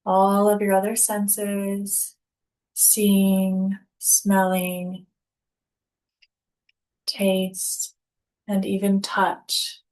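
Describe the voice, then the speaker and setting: Slow-paced woman guiding a relaxation. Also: medium pitch, rough recording. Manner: calm, unhurried, instructional, with deliberate pauses between phrases. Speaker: yoga nidra instructor. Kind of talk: guided relaxation meditation.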